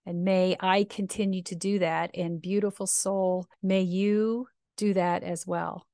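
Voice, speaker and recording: A woman minister with a deep voice, speaking earnestly and reflectively in slow, deliberate phrases. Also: fairly even intonation, dark tone, no room sound, studio quality. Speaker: minister and podcast host. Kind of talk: reflective personal talk.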